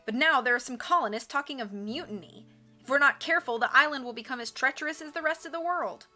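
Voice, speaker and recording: A woman's voice: high voice, fast talker, sharp tone, faint music behind, pitched above the performer's own voice. A woman voicing a weary, worried castaway. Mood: earnest. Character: weary castaway islander seeking peace.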